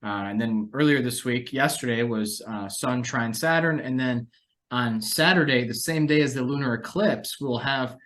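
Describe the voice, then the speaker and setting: A man's voice: medium pitch, moderate pace, fairly bright tone. A man, hosting a conversational discussion of financial markets as an analyst.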